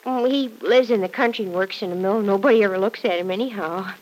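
A woman's voice: medium pitch, fast talker, a little mellow, lightly acted, low-quality recording. A woman playing a cheerful, devoted young farm girl working as a housemaid in a classic radio drama, earnest and artless with folksy exclamations. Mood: confiding.

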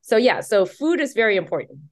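A middle-aged woman from Taiwan, an author being interviewed, chatting casually and acting out the quotes in her stories with excitement. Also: on the high side, brisk. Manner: animated, excited storyteller who acts out quotes. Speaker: author and guest.

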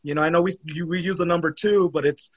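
A man's voice: on the high side, steady tone, quick pace. A man co-hosting a casual podcast, joking around, laughing often and trying out a few German phrases. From United States. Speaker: podcast co-host.

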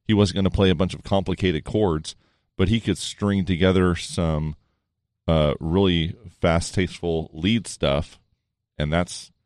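A man with a low voice, a musician, reflecting thoughtfully and haltingly in casual chat. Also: steady tone, darkish tone, no room sound, studio quality. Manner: thoughtful, halting, many restarts.

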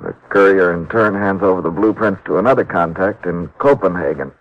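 An actor playing a wounded, cooperative male thief confessing from a hospital bed, halting and subdued, in a 1950s radio drama. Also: sits low. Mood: weak, cooperative. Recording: muffled, dry old radio recording.

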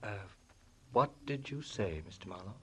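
A man's voice: on the low side, expressive, normal speed, husky. An actor playing a courteous, evasive, well-to-do man in a 1940s radio drama. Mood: courteous, uneasy. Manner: courteous, slightly evasive, formal. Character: polite, guarded, well-to-do male divorced ex-husband.

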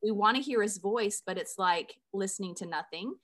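Woman, podcast host, earnest, conversational, mid-pitched voice. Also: moderate pace, a bit bright. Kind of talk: faith podcast intro and interview.

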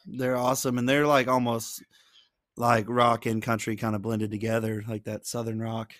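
A chatty male interview host of medium pitch who laughs often and fills his questions with "um" and "like". The sound is dry and close to the mic. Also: little pitch movement, moderate pace, fairly bright tone, no echo. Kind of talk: casual interview chat.

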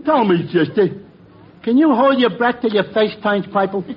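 An actor playing a dim-witted, blunt tavern regular in a 1940s radio comedy. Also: male voice, sits high, expressive, moderate pace. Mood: blunt, mocking. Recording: muffled, noisy vintage radio recording.

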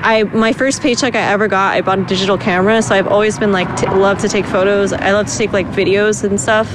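A woman's voice: medium pitch, steady tone, quick pace, background noise. A woman in her twenties from Southern California, a musician guest talking casually with slang and frequent laughter. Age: 20s. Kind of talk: casual interview chat.

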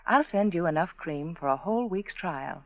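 Earnest low-voiced 1940s radio saleswoman. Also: animated, normal speed, dry recording. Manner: earnest, intimate sales pitch. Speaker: sponsor spokeswoman. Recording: dry, dull 1940s radio recording.

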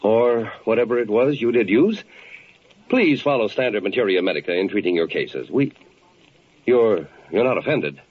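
An actor playing a polite, hesitant hospital supervisor in an old-time radio drama, formal and diplomatic. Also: male voice, on the low side, animated, normal speed, lightly acted. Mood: apologetic. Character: polite but fussy hospital supervisor, apologetic authority. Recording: muffled, slightly noisy old radio recording.

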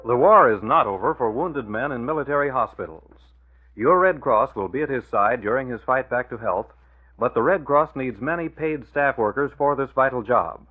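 A man's voice: mid-range voice, lively intonation, normal speed, phone-quality sound. An American man working as a radio announcer, reading scripted copy in a 1940s style. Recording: thin old radio broadcast.

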